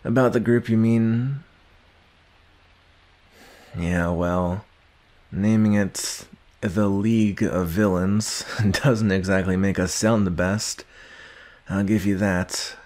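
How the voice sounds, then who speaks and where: A young man playing a gruff, guarded but secretly caring villain in an ASMR roleplay, speaking haltingly with sighs and grudging tenderness. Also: lowish voice, fairly even intonation, darkish tone. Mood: reassuring, confiding. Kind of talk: ASMR roleplay addressed to the listener.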